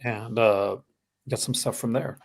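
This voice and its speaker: Gravelly Canadian man, casual rambling chat. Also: medium pitch, fairly even intonation, unhurried. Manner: rambling, many fillers and restarts. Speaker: host. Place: home, live stream. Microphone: streaming call.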